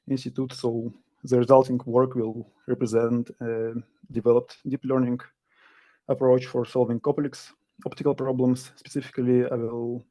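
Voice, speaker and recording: A man's voice: mid-range voice, warm tone. A young man from Kazakhstan giving a seminar talk over a video call, speaking carefully with some hesitations. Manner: careful, slightly halting delivery with fillers. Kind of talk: academic presentation. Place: indoor room.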